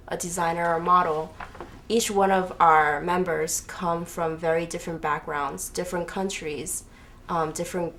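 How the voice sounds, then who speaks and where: Young woman, from Taiwan, lowish voice, explanatory interview guest, noisy background. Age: young adult. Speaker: interview guest, student fashion designer. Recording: noisy room, clear background noise.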